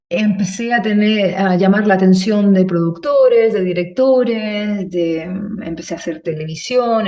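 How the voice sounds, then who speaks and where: A woman's voice: fairly low pitch, a little mellow, recorded from a distance. A woman from Chile, telling her story conversationally as a guest, in a meandering way with checking tags. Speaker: podcast guest, movement instructor.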